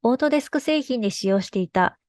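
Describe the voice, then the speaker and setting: A woman's voice: fairly high pitch, expressive, fast, a little mellow, no echo. Woman presenting, close dry sound. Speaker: game studio developer presenting a workflow. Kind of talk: scripted technical presentation.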